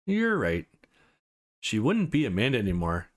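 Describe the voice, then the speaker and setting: A man's voice: on the low side, huge pitch swings, moderate pace, pitched below the performer's own voice. A man voicing an anxious, guarded young woman in a visual novel, emotive and easily exasperated. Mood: self-conscious.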